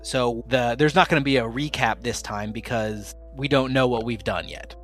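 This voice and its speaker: A man's voice: mid-range voice, moderate pace, a bit bright, soft background music. A man casually hosting and running an actual-play tabletop game podcast, with a playful, jokey manner. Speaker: podcast host and game master.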